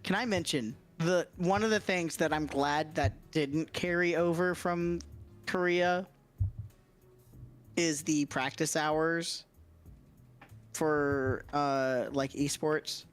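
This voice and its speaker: A man's voice: fairly high pitch, steady tone, slow, smooth, faint music behind. A man chatting casually as a livestream guest, explaining things in a hesitant, circling way with frequent hedging.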